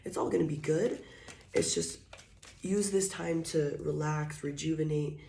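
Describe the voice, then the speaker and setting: A woman giving a casual tarot reading. She is hoarse from being sick and talks softly and slowly, with fillers. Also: sits low, fairly even intonation. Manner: soft, slow and drawn-out, with scattered fillers. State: hoarse, recovering from illness, coughing. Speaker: tarot reader. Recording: echoey room, faint noise.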